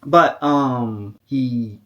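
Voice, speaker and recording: A man with an American accent, co-hosting a podcast and telling a story in a casual, lively way with many fillers. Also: medium pitch, very slow, highs cut off. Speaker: podcast co-host. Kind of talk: casual chat.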